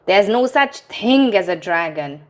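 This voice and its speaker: Woman reading a firm, no-nonsense storybook mom, slow and deliberate. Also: medium pitch, natural voice. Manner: firm, flat insistence. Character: firm, dismissive mother in a storybook.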